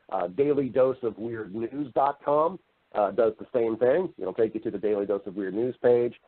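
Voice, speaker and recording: A man speaking casually and affably as an interview guest, explaining things in a conversational way. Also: medium pitch. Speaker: podcast guest, voice-over artist and narrator. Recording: muffled band-limited internet radio call.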